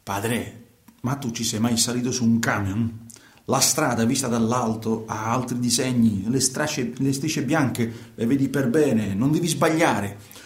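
An actor playing a troubled middle-aged truck driver, telling his story haltingly in a radio play. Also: mid-range voice, reverberant, natural voice. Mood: agitated, intense. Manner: hesitant, halting, repetitive, colloquial. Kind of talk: theatrical monologue in a radio play.

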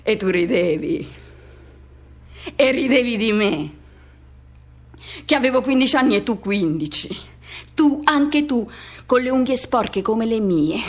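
A man reliving his first teenage love in a radio play monologue. The voice sits a little high. The delivery is theatrical and poetic, built on short fragments and repeated words. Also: expressive, a little slow, pauses often. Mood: intimate, yearning. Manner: fragmented, repetitive, poetic phrasing. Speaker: actress performing a radio drama monologue.

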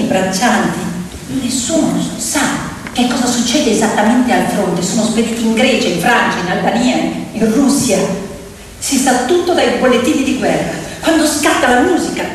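A woman's voice: a little high, a little hiss or hum. A woman performing theatrical storytelling on stage in short, emphatic phrases. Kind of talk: theatrical narrative storytelling with piano. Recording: very echoey hall, distant, loud music. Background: loud piano music.

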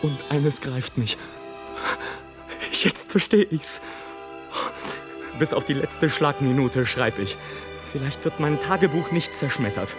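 An actor playing a brooding, defiant balloonist facing death, in a theatrical literary radio play monologue. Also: male voice, on the high side, slow, long pauses, over music. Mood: hushed, brooding. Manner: theatrical, swinging from hushed brooding to exclamation.

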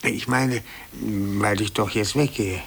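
An actor playing a needy, pushy middle-aged office employee in a halting, insistent way, in a 1950s German radio drama. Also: male voice, lowish voice, steady tone, vocal fry, a bit bright, heavily acted. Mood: wistful, hesitant. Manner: halting, insistent, seeking agreement with tag questions. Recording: 1950s radio play on hissy cassette.

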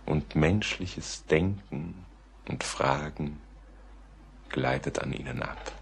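A deep, brooding husky writer. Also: male voice, exaggerated voice. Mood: brooding, reflective. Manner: brooding, deliberate, literary. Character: reflective, melancholy male writer recounting a mystery. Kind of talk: dramatic radio play narration.

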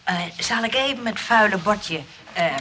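A woman playing a sweet, obliging nurse in a radio comedy, gentle and a little hesitant. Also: mid-range voice, expressive, normal speed, background noise, lightly acted. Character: sweet, obliging nurse running a rest home. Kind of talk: Dutch radio comedy drama acting.

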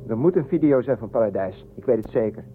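A man's voice: mid-range voice, animated, fast, natural voice. An actor playing an earnest, insistent young spaceship crewman in a science-fiction audio drama. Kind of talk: science-fiction radio play acting. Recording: tinny narrow band, clear hum and noise.

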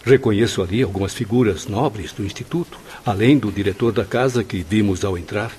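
An actor with a Brazilian accent playing an observant, courtly gentleman in a radio drama. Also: lowish voice, brisk, slight background noise, natural voice. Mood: curious, admiring.

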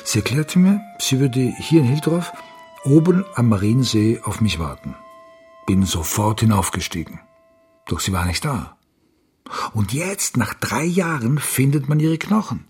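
A man's voice: medium pitch, lively intonation, unhurried, a little mellow, faint music behind, close to an everyday voice. An actor playing a stubborn, haunted man searching for his lost daughter, wry and evasive, in a radio crime drama.

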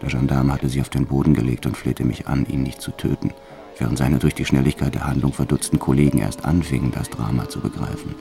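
A man's voice: rumbling low, fairly even intonation, fast talker, vocal fry, dark tone, faint music behind. An actor playing a hardened, reckless French bank robber who tells his story in a cold, matter-of-fact, boastful way for a radio drama. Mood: tense, controlled. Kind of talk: first-person radio drama narration.

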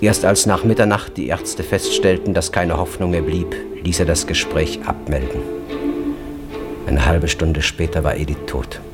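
An actor narrating a tragic story in a radio drama, with grave, urgent delivery. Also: male voice, low voice, fairly even intonation, moderate pace, close to an everyday voice. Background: prominent instrumental music.

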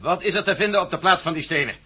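A man's voice: high-pitched, rapid-fire, bright, forceful tone, slightly rough, heavily acted. An actor playing an investigator's earnest, impatient male companion in a radio play. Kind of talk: radio drama acting. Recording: noisy old radio play recording.

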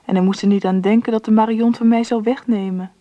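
A woman's voice: fairly high pitch, steady tone, quick pace, warm tone, dull audio, lightly acted. An actress playing a reflective grown daughter who narrates her childhood memories in a radio drama.

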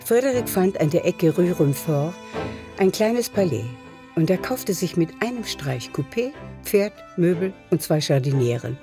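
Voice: mid-range voice, expressive, a little slow. A narrator reading a literary radio play in scripted storytelling style. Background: music.

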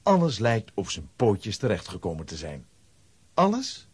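A man's voice: on the low side, wildly swooping pitch, a little slow, breathy edge, no echo. A man narrating a children's audio drama in a dramatic, scripted storybook style.

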